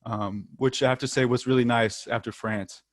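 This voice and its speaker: A man's voice: mid-range voice, fairly even intonation, moderate pace, dry recording, clean studio sound. A young American man, a football player, chatting casually in an interview with frequent ums and the odd light laugh. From United States.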